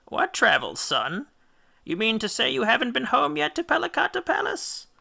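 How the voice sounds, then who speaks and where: A man voicing the mournful ghost of an aged mother in a thin, high, plaintive falsetto for a storybook. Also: huge pitch swings, normal speed, creaky, sharp tone, heavily acted. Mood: reproachful, fretful. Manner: plaintive, questioning.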